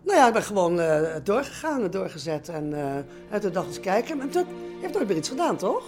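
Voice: medium pitch, big pitch swings, fairly quick, darkish tone, faint music behind. A person telling anecdotes in an interview in a hesitant way, acting out quoted exchanges.

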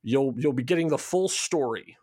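A man's voice: medium pitch, normal speed, mellow, breathy edge, no echo, studio quality. A man speaking as a show creator in a casual promotional chat, rambling along in a jokey, self-deprecating way. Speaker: show creator being interviewed.

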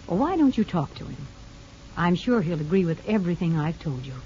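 An actress in a 1950s radio drama playing a firm, sensible parole officer who speaks in a measured, advising way. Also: female voice, on the low side, sing-song, dark tone. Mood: firm, cautioning. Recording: noisy, dull 1950s radio recording.

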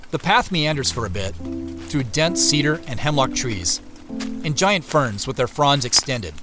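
A man narrating an audio drama as a reflective searcher, measured, descriptive and understated. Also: medium pitch, big pitch swings, over music. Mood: observant, measured. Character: reflective first-person narrator describing his woodland search.

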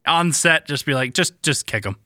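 A male podcast co-host with a bright, forward tone talks frankly in a matter-of-fact way. The recording is close and dry, with no room sound. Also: fairly high pitch, expressive, moderate pace, no echo. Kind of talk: casual chat.

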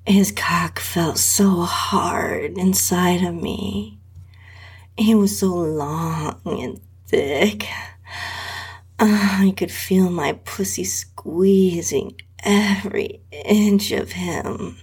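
Woman narrator, very breathy near-whisper, creaky edges, sultry drawn-out delivery, intimate adult storytelling. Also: fairly low pitch, a little mellow. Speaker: podcast host and story narrator. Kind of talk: intimate first-person erotic audio story narration.

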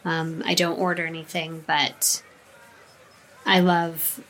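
Woman player, slow and hesitant, bright tone. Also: sits low, level tone, rough recording. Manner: unhurried, hesitant, many ums. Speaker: tabletop game player. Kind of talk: casual in-game backstory telling.

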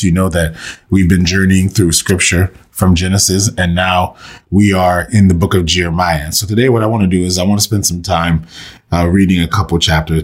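A man leading a slow, meditative scripture reading and prayer for listeners, saying he is under the weather and losing his voice. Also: low voice, slightly rough. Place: recorded for online listeners.

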